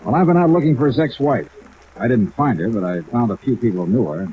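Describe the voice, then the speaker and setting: An American actor playing a dry, wry insurance investigator in a 1950s radio detective drama. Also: male voice, medium pitch, expressive, fast, slight background noise, close to an everyday voice. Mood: businesslike. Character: tough, dry male insurance investigator narrating his case. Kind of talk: 1950s radio detective drama, hard-boiled first-person narration. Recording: tinny vintage radio, music, rain behind.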